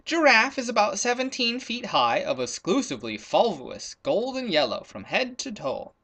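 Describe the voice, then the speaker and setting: A man's voice: high voice, very animated, moderate pace, pushed and bright, pitched above the performer's own voice, full character voice. A man voicing a puzzled, indignant, hungry leopard in a storybook, speaking in emphatic exclamations.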